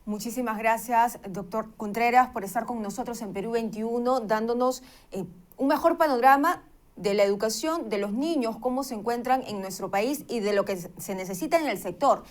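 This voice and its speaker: A woman's voice: a little high, normal speed. A woman hosting a news interview, asking questions politely and formally. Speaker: interviewer host.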